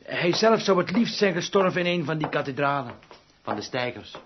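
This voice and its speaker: A man's voice: on the high side, animated, natural voice. Man playing a hesitant, timid orchestral musician. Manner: hesitant, halting, apologetic. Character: timid, awkward, polite male orchestral musician. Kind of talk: radio play acting. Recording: dull, noisy radio drama recording with clicks.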